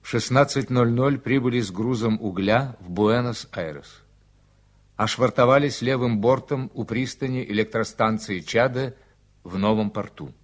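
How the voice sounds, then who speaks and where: An actor playing the formal, factual reader of a ship's log in a 1980s Soviet radio drama. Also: male voice, medium pitch, normal speed, natural voice. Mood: matter-of-fact. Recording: noisy old radio play recording.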